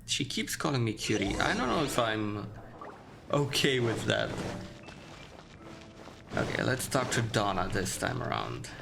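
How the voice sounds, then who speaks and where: A man's voice: on the low side, sing-song, measured pace, gravelly, pauses often. A man giving casual commentary as he plays through a video game. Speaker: gamer, let's player. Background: game music.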